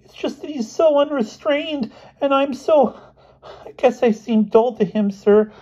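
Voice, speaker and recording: A man's voice: extremely high pitch, unhurried, mellow, dull audio, pitched above the performer's own voice. A man voicing a meek, plaintive schoolboy who is timid and easily wounded, in a children's audiobook. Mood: meek complaint. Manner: plaintive, squealing, put-upon. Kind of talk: children's novel audiobook character voice.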